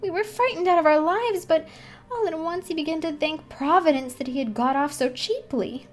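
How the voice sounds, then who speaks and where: A woman's voice: extremely high pitch, moderate pace, faint music behind, voice pushed up. A woman voicing a frightened, superstitious young ballet girl, chattering insistently in a breathless, gossipy way for a storybook reading. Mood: breathless gossip.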